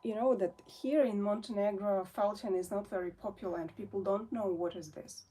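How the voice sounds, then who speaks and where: A woman's voice: mid-range voice, fairly even intonation, normal speed, mellow, slightly rough. A woman in her forties from Russia, speaking English as a second language, hosts her felting channel in a casual, hesitant chat full of fillers and restarts. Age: 40s. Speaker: felting artist and channel host. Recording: voice somewhat distant from the mic.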